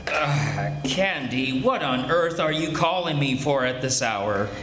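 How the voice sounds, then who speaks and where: A man's voice: mid-range voice, lively intonation, slow pace, a bit bright, faint music behind. A man playing a fussy, grandiloquent, tipsy sidekick with droll theatrical grumbling, in 1940s radio style. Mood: grumbling, weary. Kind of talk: 1940s radio comedy-mystery acting. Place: live stage radio theatre performance. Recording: echoey stage, faint background noise.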